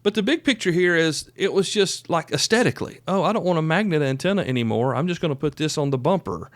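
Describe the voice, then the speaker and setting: A man's voice: fairly high pitch, lively intonation, fast talker, no room sound. A man in his fifties hosting a solo podcast in a casual, folksy, chatty way, stressing his points and drifting into asides. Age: 50s. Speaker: podcast host.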